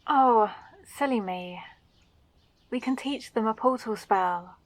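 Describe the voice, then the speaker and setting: A woman playing a kind, eager mage who teaches magic gently and encouragingly in a roleplay audio drama. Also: fairly high pitch, takes it slowly, dry recording, poor audio. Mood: cheerful, musing.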